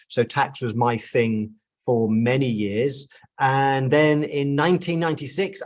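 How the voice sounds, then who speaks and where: A man in his fifties from the United Kingdom with a British accent, giving an upbeat training presentation to accountants and often repeating words as he restarts his sentences. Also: mid-range voice.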